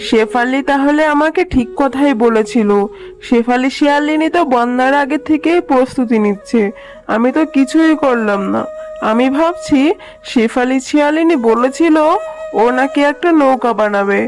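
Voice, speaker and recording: A woman's voice: high voice, moderate pace, darkish tone, faint music behind, lightly acted, low-quality recording. A woman voicing a scornful, scheming tigress aunt in a children's cartoon, with a mocking, brusque, scolding manner.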